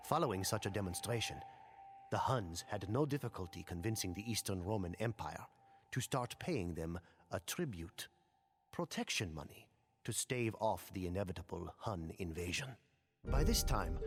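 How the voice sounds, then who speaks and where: A man's voice: lowish voice, natural voice. A man performing as a grave chronicler, telling a scripted history of conquest for a game. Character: grave male chronicler recounting barbarian conquests. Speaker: narrator of a historical campaign. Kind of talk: scripted dramatic storytelling narration. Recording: music behind the voice. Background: music.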